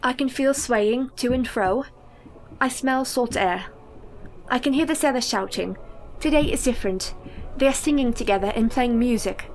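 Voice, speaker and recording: A Victorian wife under a dark curse acted by a woman speaks in a high, measured voice. She is brave and formal in radio-play style, with faint ambience behind her. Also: slight background noise, lightly acted. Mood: hushed, searching.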